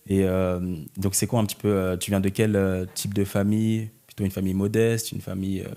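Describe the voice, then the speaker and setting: A man's voice: sits low, level tone, a little fast, mellow. A young man interviewing someone casually, asking informal questions and giving short acknowledgements.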